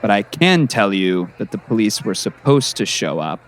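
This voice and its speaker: An actor playing a formal, paternal middle-aged school principal who cautions and admonishes in an audio drama. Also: male voice, lowish voice, sing-song, normal speed. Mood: stern, cautioning. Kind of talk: scripted audio drama acting.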